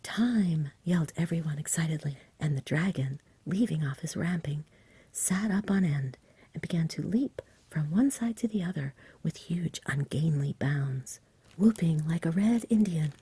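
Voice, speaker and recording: A woman reading a story in a hushed, soothing ASMR whisper, close to the microphone. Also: sits low, normal speed, dry recording. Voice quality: breathy whisper. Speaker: ASMR reader.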